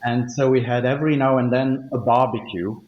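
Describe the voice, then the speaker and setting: A man, a native Dutch speaker, answering interview questions in a thoughtful, hesitant way with many pauses. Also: mid-range voice, steady tone, echoey room, dull audio. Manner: thoughtful, hesitant with frequent ums. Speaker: guest, company legal officer.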